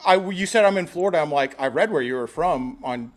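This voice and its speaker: Man, host, slightly high voice, joking, laughing, swearing, livestream phone call. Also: lively intonation, fast. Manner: joking, laughing, swearing, mock-grand speeches.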